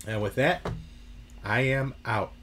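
A man's voice: medium pitch, slow pace, fairly bright tone, soft background music. A middle-aged man hosting a casual baseball postgame recap from home, chatty and upbeat with lots of fillers. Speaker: baseball postgame show host. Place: home room full of memorabilia shelves.

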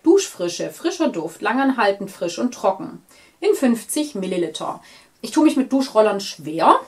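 A woman in her thirties with a cold, chatting enthusiastically as she unboxes beauty products on camera in a small bright room. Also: medium pitch, sing-song, moderate pace. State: stuffy, with a cold. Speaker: beauty vlogger. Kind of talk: casual unboxing chat.

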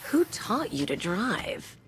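A woman's voice: medium pitch, sing-song, slow pace, noisy background. A woman voicing a haughty, sardonic villainess in a video game, drawling with seductive disdain for humans.

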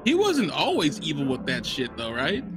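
A young man reacting to a film in casual, slangy, profane commentary, speaking excitably into a close studio mic. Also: on the high side, normal speed. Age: young adult. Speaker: movie reaction host. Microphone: close boom-arm studio microphone, headphones.

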